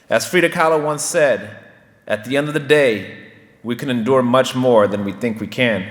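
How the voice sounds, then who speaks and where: Male teacher, earnest formal speech, slightly high voice, long hall reverb. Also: a bit bright. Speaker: Spanish teacher giving a ceremony speech.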